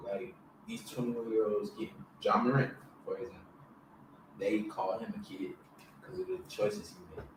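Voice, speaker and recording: A twenty-one-year-old man tells his stories in a laid-back but insistent way. He is heard from a distance in a strongly echoing room, with clear background noise under his voice. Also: lowish voice, moderate pace. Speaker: guest. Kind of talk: casual storytelling.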